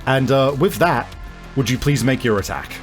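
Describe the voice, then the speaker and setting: A man runs a tabletop game as its game master. His voice sits at a middle pitch, and he explains and describes off the cuff in halting phrases. Music plays clearly behind him. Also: expressive. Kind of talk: improvised narration of a tabletop game.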